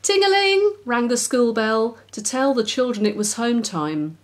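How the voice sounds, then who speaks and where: A woman's voice: on the high side, lively intonation, measured pace. A woman narrating a children's story expressively as its author.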